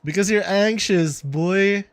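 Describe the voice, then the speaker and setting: A man's voice: high-pitched, takes it slowly, slight background noise. A man livestreaming a game, reacting casually and chatting in slangy exclamations. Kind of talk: casual live reaction chat.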